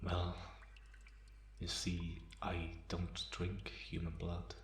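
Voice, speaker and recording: A man playing a calm, teasing half-vampire in an ASMR roleplay, pausing often and sounding softly amused and restrained. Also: deep voice, full character voice. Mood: wry, teasing. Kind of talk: slow ASMR roleplay monologue. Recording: roomy echo, faint background noise.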